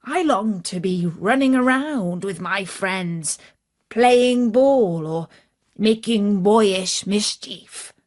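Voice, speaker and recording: A woman with a British accent narrates a children's story. She takes her time over the words in an expressive, measured way, at an ordinary medium pitch.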